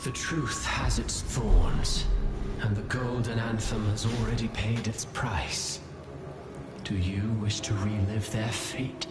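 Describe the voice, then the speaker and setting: An actor playing a menacing, poetic villain in a video game, speaking deliberately and ominously. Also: male voice, lowish voice, steady tone. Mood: threatening. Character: menacing, poetic male villain taunting with veiled threats. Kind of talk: scripted video game acting. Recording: roomy echo, music behind.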